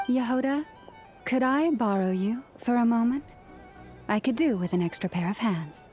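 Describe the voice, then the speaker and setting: A woman voices a polite young woman in a game, with a fairly high, gentle voice. The sound is slightly dull, and music plays faintly behind. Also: animated, moderate pace, close to an everyday voice. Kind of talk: game dialogue.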